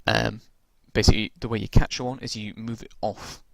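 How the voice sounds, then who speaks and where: Gravelly low-voiced man rambling through rules. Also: level tone, brisk, fairly bright tone, no room sound. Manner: rambling, many ums and restarts. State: croaky from long talking. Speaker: tabletop game reviewer. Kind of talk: casual rules walkthrough.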